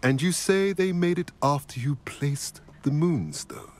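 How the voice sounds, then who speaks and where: Man as slow, solemn, wise centaur. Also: on the high side, darkish tone, natural voice. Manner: grave, aphoristic. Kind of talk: scripted video game cutscene acting.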